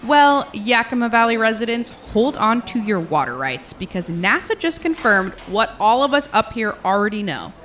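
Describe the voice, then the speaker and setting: A woman's voice: fairly high pitch, very animated, normal speed, few pauses, fairly bright tone, slight background noise. A woman playing a brash small-town comedian hosting an open mic night in an audio drama, teasing the room with rhetorical questions. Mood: playful, sardonic.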